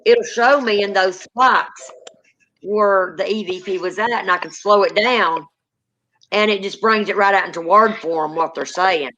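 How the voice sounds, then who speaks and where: A woman's voice: medium pitch, measured pace, a bit bright. Southern woman hosting a spirit box stream. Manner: chatty and affectionate, calls guests honey and girlfriend. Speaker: host of a paranormal livestream. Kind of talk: casual chat, calling out to spirits. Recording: dull lo-fi stream audio.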